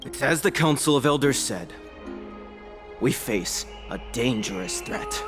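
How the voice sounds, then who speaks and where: A man's voice: medium pitch, lively intonation, unhurried, over music, natural voice. An actor playing an anxious, disgruntled townsman with a grave, indignant manner in a video game. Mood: indignant worry.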